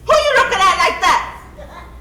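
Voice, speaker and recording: A woman's voice: extremely high pitch, expressive, measured pace. A woman on stage playing an imperious, impatient plantation mistress with a haughty, commanding manner and folksy Southern phrasing. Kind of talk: stage play acting. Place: stage of a theatre or hall. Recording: echoey, noisy stage recording.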